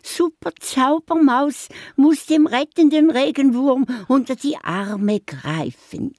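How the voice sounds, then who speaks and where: An older woman with a Swiss German accent playing a bossy, excitable little magic mouse in a children's radio play, theatrically addressing listeners like a showman. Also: high-pitched, slow pace, dry recording, clean studio sound. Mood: bold, triumphant.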